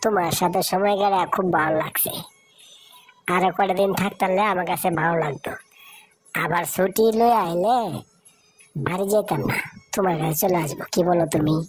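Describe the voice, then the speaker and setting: A woman's voice: fairly low pitch, fairly quick, long pauses, natural voice. A woman voicing a chatty young working woman in a village cartoon, with a familiar manner and rural Bangladeshi regional Bengali. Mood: affectionate. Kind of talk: cartoon dialogue in colloquial dialect. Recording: music behind the voice. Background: music.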